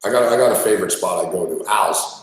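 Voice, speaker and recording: A man hosting a sports betting show, chatting casually and loudly with plenty of swearing while he eats on air. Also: deep voice, fast talker. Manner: loud, profane, rambling banter. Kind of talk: casual live-stream chat while eating. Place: small studio. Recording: echoey room.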